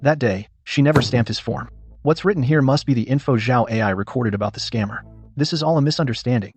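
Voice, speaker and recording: A man acting a self-righteous older company manager in a radio drama, dismissive, condescending and lecturing, with a husky voice. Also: mid-range voice, fast. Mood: evasive.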